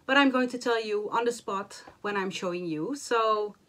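A woman in her forties, hoarse and a little nasal after the flu, chatting to camera about her stitching projects from a small home craft room, with many fillers and restarts. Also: mid-range voice, moderate pace, a bit bright. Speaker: cross-stitch vlogger. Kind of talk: casual chat to camera.

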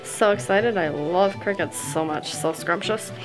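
A woman's voice: mid-range voice, a little slow, music in the background, low-quality recording. A young woman at a family game at home, playful and squealing over eating bugs. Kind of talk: casual family game chat. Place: home dining room.

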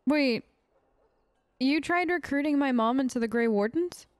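A woman's voice: sits high, measured pace, dry recording. A woman hosting a game let's play, improvising in character in a casual, polite and hesitant way. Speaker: gaming video host.

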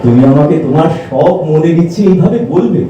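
A man playing a gentle, self-effacing, anxious young suitor in a stage audio drama, speaking earnestly and hesitantly. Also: on the high side, lively intonation, a little fast, lightly acted. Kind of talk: Bengali audio drama acting. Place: multipurpose hall performance. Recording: echoey hall, distant mic, faint noise.

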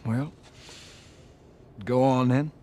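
An actor playing a wary, resentful younger brother in a video game drama, plain-spoken and firm, with an American Southern accent. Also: male voice, medium pitch, steady tone, very slow, mellow, slight background noise. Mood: skeptical.

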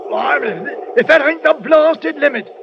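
A nosy, excitable gossip on a train, his voice high and gravelly as he chatters along. It comes through a noisy, dull old radio broadcast. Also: unhurried, full character voice. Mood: nosy, excited. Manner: excitable, nosy, dropped grammar. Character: gossipy cockney man on a train. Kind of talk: 1930s radio drama acting.

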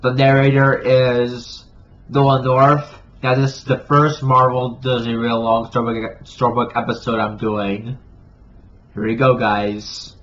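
Flat-voiced young man, muffled audio. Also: medium pitch, measured pace, slight background noise. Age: young adult. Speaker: presenter of a read-along storybook series. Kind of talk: casual video introduction. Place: small home room with shelves.

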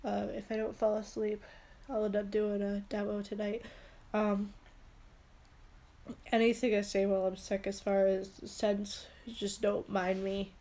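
A woman's voice: medium pitch, level tone, unhurried, dark tone. A young woman with a head cold and a stuffy nose, chatting casually and rambling through a product haul in a small room on a close phone camera. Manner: chatty, rambling, with many fillers. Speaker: beauty vlogger. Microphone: phone camera held close.

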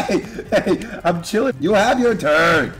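A young man with a raspy, strained, partly lost voice giving excitable, slangy gameplay commentary, swinging from muttering to yelling. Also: high voice. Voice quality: hoarse, raspy. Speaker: gaming video host. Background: music behind the voice.

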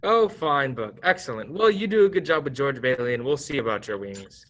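A man's voice: medium pitch, animated, a little fast, a bit bright, lo-fi sound, natural voice. A teenage boy playing an authoritative, businesslike senior angel in a staged radio play. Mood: calm, instructive.

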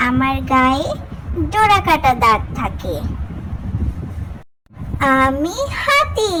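Really high kiddie cartoon narrator, super lively sing-song teaching, noisy background. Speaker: narrator introducing animals for children. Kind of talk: scripted children's educational cartoon narration.

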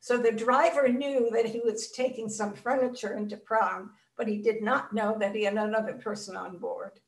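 Older woman, survivor testimony over a video call, measured and reflective, fairly high voice, roomy sound picked up from a distance. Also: fairly even intonation. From Czechoslovakia. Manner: measured, reflective storytelling with pauses. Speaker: Holocaust survivor speaker. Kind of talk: personal testimony in an interview. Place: home room.

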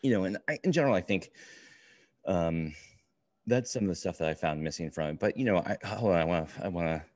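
A man in his forties co-hosting a casual review podcast, talking in a measured, agreeable, meandering way. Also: low voice, darkish tone, dry recording, clean studio sound. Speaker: podcast co-host. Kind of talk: casual book review chat.

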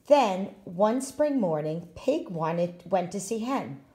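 Slow, expressive woman reading a storybook. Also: medium pitch. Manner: expressive, deliberate. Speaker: storytime reader, school staff. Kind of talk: children's picture book read-aloud.

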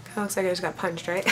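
A young woman in her twenties with a slightly raspy voice, chatting playfully through a makeup tutorial at her vanity. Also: medium pitch. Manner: chatty, playful, talks while applying makeup. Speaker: beauty vlogger. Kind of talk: casual makeup tutorial chat. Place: home makeup room with vanity. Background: faint background music.

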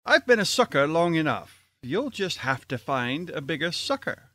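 Man acting a tight-fisted exasperated boss, animated, dry close sound, radio play. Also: a little high, normal speed, no room sound, exaggerated voice. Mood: brusque, impatient. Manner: impatient, brusque. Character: exasperated, tight-fisted college board chairman. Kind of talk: old-time radio comedy acting.

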